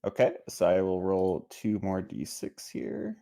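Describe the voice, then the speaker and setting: A man with a low, creaky voice talks casually as a player in a tabletop game. His pitch moves around a lot, though he takes his time with the words. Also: wildly swooping pitch, a little slow, warm tone, dry recording, clean studio sound.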